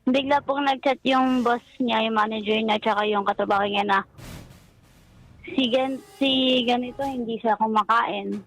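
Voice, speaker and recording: A woman's voice: fairly high pitch, steady tone, normal speed, poor audio. A young woman with a Filipino accent calling a radio show, politely recounting a personal story. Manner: polite, deferential. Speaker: caller. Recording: radio phone-in with music behind.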